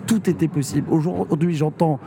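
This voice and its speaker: A man's voice: a little high, fairly even intonation, normal speed, creaky, noisy background. A man, a district mayor from Normandy, answering in a radio interview, hesitant with many fillers but firmly emphatic. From Normandy, France.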